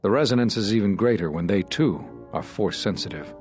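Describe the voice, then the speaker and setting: An actor playing a solemn, regretful Jedi master in a video game, speaking in a measured, sombre and earnest way. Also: male voice, on the low side, expressive, darkish tone, soft background music, close to an everyday voice. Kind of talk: dramatic video game dialogue.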